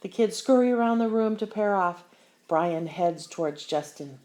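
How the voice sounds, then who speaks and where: A woman reading a children's picture book aloud with expressive, deliberate storyteller phrasing. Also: mid-range voice. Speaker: story reader.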